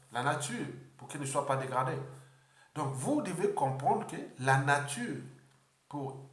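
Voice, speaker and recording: A man from the Republic of the Congo giving an unscripted political address, halting often and repeating words. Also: on the high side, roomy sound. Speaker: political speaker.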